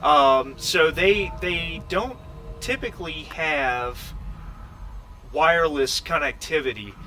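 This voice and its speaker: Bright-voiced man running a tabletop game. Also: a little high, soft background music. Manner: halting, explanatory. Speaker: game master. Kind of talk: narrating a tabletop roleplaying game. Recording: faint background noise.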